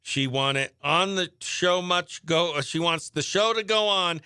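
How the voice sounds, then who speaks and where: A man with a high, bright, smooth voice hosts country morning radio. He works like a showy announcer, pumping up each introduction and bantering playfully with listeners. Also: measured pace, no echo, clean studio sound. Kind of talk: excited live radio presenting and chat.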